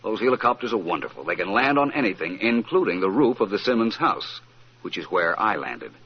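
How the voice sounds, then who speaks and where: A suave, witty gentleman detective from a vintage radio mystery. His low voice swings freely and expressively, urbane and teasing. The old recording is muffled. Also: sing-song, fairly quick, husky, heavily acted. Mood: assured, explanatory. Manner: urbane, teasing, explaining with assurance. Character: suave, witty, self-assured male amateur detective.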